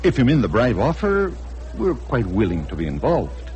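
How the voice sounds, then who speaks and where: An actor playing a suave, formal personal assistant to a Mexican tycoon in a radio drama, speaking with precise courtesy. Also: male voice, medium pitch, huge pitch swings, normal speed, darkish tone, dull audio. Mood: smooth, persuasive. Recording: noisy old radio drama recording.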